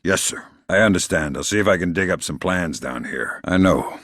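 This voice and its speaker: A man playing a middle-aged, dry-witted, gruff covert operative in a video game, deadpan, sardonic and quietly menacing. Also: sits low, moderate pace, no room sound. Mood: focused, terse. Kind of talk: video game voice acting, isolated dialogue lines.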